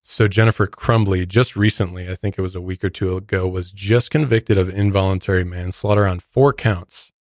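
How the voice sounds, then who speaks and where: A man's voice: low voice, fairly even intonation, moderate pace, few pauses, a little mellow, dry recording, studio quality. An American man co-hosting a true crime podcast, giving his opinions in a casual, conversational way. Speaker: podcast co-host.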